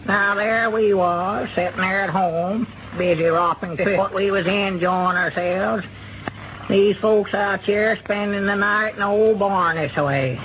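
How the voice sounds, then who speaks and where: Agreeable old farmer, dull radio sound. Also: male voice, sits high, unhurried. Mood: eager, warm. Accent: rural Southern US, Arkansas. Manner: folksy, agreeable, repeats himself. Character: good-hearted, agreeable old country farmer man. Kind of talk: 1930s radio drama acting. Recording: noisy, dull 1930s radio recording.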